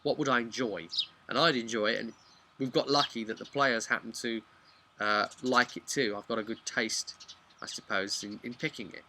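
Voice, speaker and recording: A man's voice: medium pitch, steady tone, moderate pace, bright, forceful tone. A man giving a casual, unscripted explainer as a video host, rambling along in a chatty, frank way. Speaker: video host and tabletop game master.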